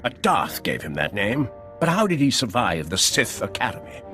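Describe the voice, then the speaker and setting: A man playing a young, dutiful Imperial major with a husky voice in a video game. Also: fairly low pitch, big pitch swings, normal speed, over music. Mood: crisp, dutiful.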